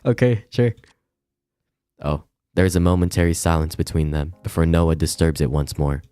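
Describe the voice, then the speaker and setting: A man's voice: sits low, normal speed, dark tone, clean tone. A man reading a visual novel aloud on a casual stream, stumbling now and then and tossing in giggly asides. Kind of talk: casual livestream read-along with reactions. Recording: roomy echo, music behind. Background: music.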